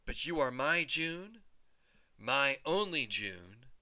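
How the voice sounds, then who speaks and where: A man's voice: medium pitch, very animated, slow pace, bright, forceful tone, heavily acted. A man voicing a loving, worried working mother who speaks tenderly and soothingly.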